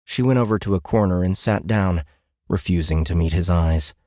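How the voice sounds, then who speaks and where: A man's voice: sits low, normal speed, dark tone, no room sound, studio quality. A man reading scripted fiction as an audiobook narrator in a dramatic storytelling style. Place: dry recording studio.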